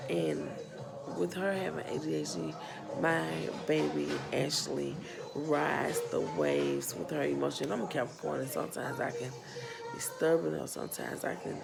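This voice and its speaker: A woman in her thirties with a Southern US accent, speaking earnestly and haltingly as a parent who runs a nonprofit, her voice a bit worn. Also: deep voice, vocal fry. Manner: slow, earnest, halting with pauses and restarts. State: losing her voice. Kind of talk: personal vlog testimony.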